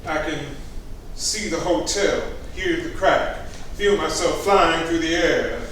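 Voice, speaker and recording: Man playing a calm, fatalistic preacher. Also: on the high side, slow pace, a little hiss or hum. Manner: soft, coaxing, persuasive. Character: calm, persuasive male preacher with a fatalistic air. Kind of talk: staged musical reading. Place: stage. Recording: echoey stage from far off, music.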